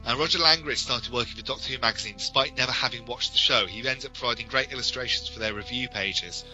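A man's voice: on the low side, poor audio. A British man co-hosting a podcast, giving detailed, enthusiastic, informal explanations. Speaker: podcast co-host.

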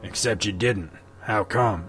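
A man voicing a gruff, scarred bounty hunter of the old West with terse, drawling frontier talk. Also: lowish voice, a little slow, fairly bright tone, soft background music, pitched below the performer's own voice. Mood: hard.

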